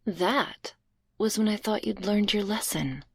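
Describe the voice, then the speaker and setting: A woman's voice: medium pitch, a little slow, creaky, slightly rough, no echo. A woman playing a stern, teasing, domineering school librarian, scolding sarcastically in an intimate, half-whispered ASMR roleplay on a close binaural microphone.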